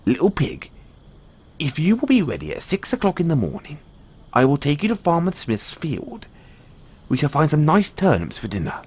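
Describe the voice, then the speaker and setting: A man voicing a hungry, sly big bad wolf from a storybook, with drawn-out, menacing demands. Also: on the high side, wildly swooping pitch, husky, pitched above the performer's own voice, exaggerated voice. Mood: falsely friendly. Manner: drawn-out, loud, huffing demands.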